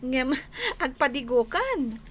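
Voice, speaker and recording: A woman's voice: sits high, very animated, takes it slowly, exaggerated voice. A woman playing an exasperated young wife in a radio drama, with an emotional, nagging manner. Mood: friendly, curious. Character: exasperated young wife scolding her drinking husband. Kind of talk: Ilocano radio drama acting.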